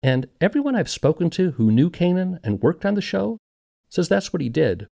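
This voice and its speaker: A man's voice: sits high, sing-song, moderate pace, creaky, dark tone. A man narrating a scripted documentary podcast in a conversational, wry manner.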